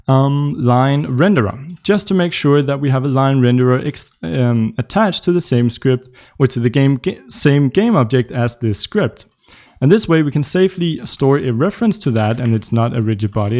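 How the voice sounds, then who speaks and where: A man's voice: medium pitch, animated, moderate pace, darkish tone. A young man with a Danish accent hosting a live coding tutorial, explaining casually with frequent ums and uhs as he works. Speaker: tutorial host.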